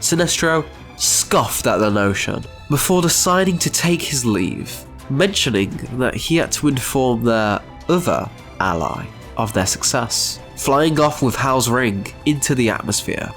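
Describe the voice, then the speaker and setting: A man with a mid-pitched voice delivers scripted story narration in a dramatic style. Faint music plays behind him as he narrates. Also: expressive, measured pace, a bit bright.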